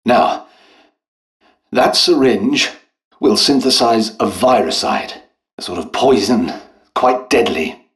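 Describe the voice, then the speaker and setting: Slow-speaking, genteel British doctor. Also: male voice, on the low side. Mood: polite, explanatory. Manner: genteel, hesitant, old-fashioned politeness. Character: polite, dutiful, harried English royal doctor and scientist. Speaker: video game voice actor. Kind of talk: scripted game character dialogue.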